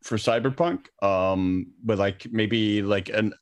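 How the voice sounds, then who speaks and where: A man co-hosting a podcast, talking casually and haltingly, repeating words as he thinks. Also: fairly low pitch, fairly even intonation, no room sound. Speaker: podcast co-host. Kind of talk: casual unscripted gaming discussion.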